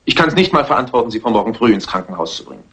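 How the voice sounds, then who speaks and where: A man's voice: on the low side, sing-song, very fast, a bit bright. An actor playing a firm male doctor, formal and authoritative, in a dubbed crime film. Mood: firm, grave. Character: firm, brisk male doctor guarding his patient. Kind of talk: 1960s crime film dub.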